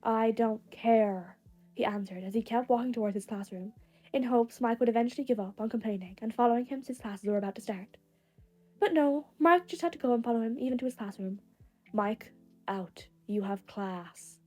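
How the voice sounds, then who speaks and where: A woman's voice: a little high, fast talker, darkish tone, faint music behind. A woman reading fan fiction aloud as a scripted storytelling narration. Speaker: podfic narrator.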